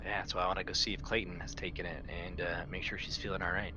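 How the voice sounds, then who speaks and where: A man's voice: low-pitched, flat delivery, fast, lo-fi sound, over music. A man playing a tabletop roleplaying game, improvising in character in a casual, blunt and assertive way. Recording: clear background noise.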